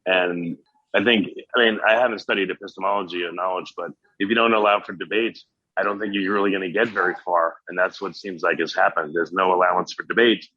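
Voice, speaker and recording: Deep-voiced male host, dry dull sound. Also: steady tone, fast talker, bright, forceful tone, husky, no room sound. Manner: conversational, loose and musing. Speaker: podcast host. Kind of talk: casual interview chat.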